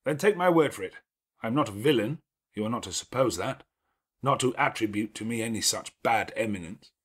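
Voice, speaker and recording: A man voicing a brusque, imperious, self-reproaching gentleman in an audiobook of a classic novel, with emphatic, commanding delivery. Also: fairly low pitch, lively intonation, a little fast, breathy edge. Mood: earnest, insistent.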